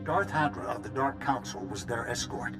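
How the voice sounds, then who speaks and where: Male actor as a resolute game general, medium pitch, authoritative, businesslike briefing, soft music behind. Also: moderate pace, close to an everyday voice. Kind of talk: military briefing in video game.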